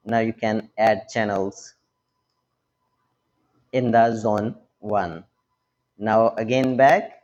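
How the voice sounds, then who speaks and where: A man presenting a hands-on, step-by-step technical tutorial, speaking instructionally in short, halting phrases. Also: on the low side, fairly even intonation, a little mellow, dull audio. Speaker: tech tutorial host.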